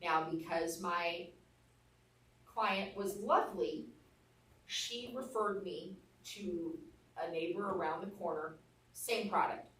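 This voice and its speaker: A woman's voice: lowish voice, slow pace, long pauses, fairly bright tone. A woman with an Arkansas accent teaching a real estate class, telling candid stories in a blunt, down-to-earth way. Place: training room. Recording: echoey room, mic far off.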